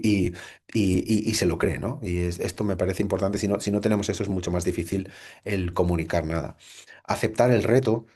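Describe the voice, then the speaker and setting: A man's voice: low voice, darkish tone. A middle-aged man from Spain with a Spanish accent, giving a reflective recorded lecture to a computer, full of rhetorical questions and restarts. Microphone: computer mic.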